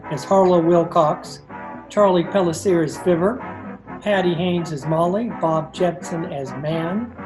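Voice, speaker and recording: A man's voice: high voice, poor audio. A man reading a scripted closing credits announcement as the show's announcer. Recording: crowd noise and music behind.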